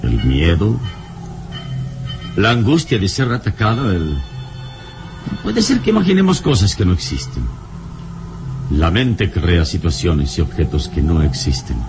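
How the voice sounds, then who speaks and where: Calm heroic adventurer from an old radio serial, very low voice that swings a lot, music behind. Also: male voice, gravelly, full character voice. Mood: reassuring. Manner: measured, deliberate, dramatic pauses. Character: calm, commanding male adventure hero, reassuring and authoritative.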